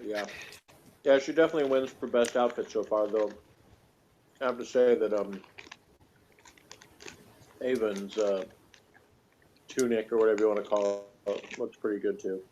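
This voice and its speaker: Guy w low voice casually reviewing tv, kinda halting and jokey, muffled lo-fi recording w some noise. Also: fairly even intonation, a little mellow. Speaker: co-host reviewing TV episodes. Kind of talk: casual unscripted review chat. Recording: muffled lo-fi audio, noticeable noise.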